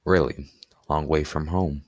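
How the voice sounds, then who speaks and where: Composed male detective with extremely low voice, played by a teenage boy, courteous, radio play. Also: steady tone, measured pace, heavy background noise. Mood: polite. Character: composed, polite senior male police detective. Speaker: student actor. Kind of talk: stage radio play acting.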